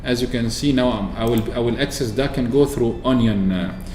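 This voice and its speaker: A man from Oman chatting casually through a software walkthrough, explaining with fillers and restarts. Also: medium pitch, normal speed, a little hiss or hum. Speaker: tech video host. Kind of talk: screen-recorded software walkthrough. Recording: echoey room with faint ambience.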